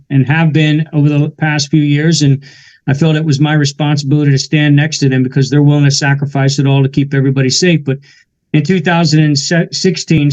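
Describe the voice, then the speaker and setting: A man in his forties, a veteran and musician, telling his story in an earnest, steady way during a casual interview. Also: fairly high pitch, lo-fi sound. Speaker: guest musician and army veteran.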